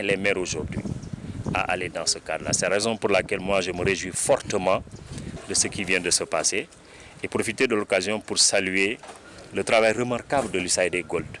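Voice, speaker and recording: A man's voice: deep voice, background noise. A man with a Senegalese French accent speaking emphatically, like an orator, in a press interview.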